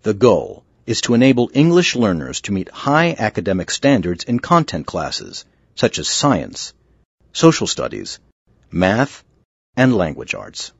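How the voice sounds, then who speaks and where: Documentary narrator, male, husky, lively pitch, measured delivery. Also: no room sound. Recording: dull, muffled sound.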